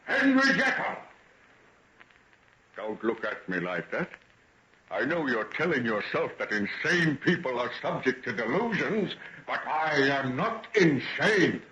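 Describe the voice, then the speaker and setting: Casting: unhinged radio-play villain, taunting, melodramatic, wildly varied pitch, muffled vintage sound. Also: male voice, big pitch swings, unhurried. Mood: raving intensity. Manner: melodramatic, taunting, swinging from hushed to shouting. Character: menacing, gloating madman villain, theatrical and murderous. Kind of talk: 1940s radio drama acting. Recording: muffled, noisy old radio recording.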